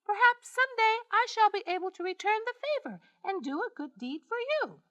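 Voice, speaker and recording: A woman doing a squeaky, pleading little mouse. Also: very animated, normal speed, a bit bright, no echo, highs cut off, heavily acted. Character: tiny timid male mouse, pleading. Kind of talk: children's storybook reading.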